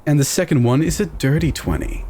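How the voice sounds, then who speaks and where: A man's voice: a little high, fairly quick, mellow, slight background noise. A man taking part in a tabletop game, casually narrating what his character does.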